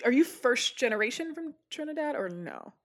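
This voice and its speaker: Woman chatting, kinda high voice, lively, jumps in with asides. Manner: animated, quick asides, laughs easily. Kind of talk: casual conversation.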